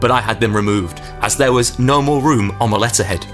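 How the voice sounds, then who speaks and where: An actor playing a vain, self-satisfied 1980s funeral director delivering a pompous, joking monologue as a comic radio advert. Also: male voice, fairly low pitch, a little fast, a bit bright, soft background music, natural voice. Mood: smug, jokey.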